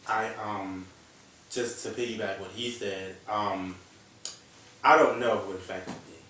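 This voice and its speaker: A man's voice: on the low side, fairly even intonation, unhurried, fairly bright tone. Candid young man, echoey distant mic. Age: young adult. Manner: candid, emotional, swears. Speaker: guest. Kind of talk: casual panel chat.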